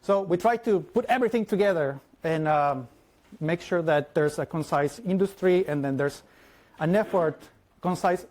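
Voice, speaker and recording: A man in his thirties with a Mexican Spanish accent, a native Spanish speaker, giving a fast, lively conference talk and often asking the audience questions. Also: a little high. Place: large lecture hall.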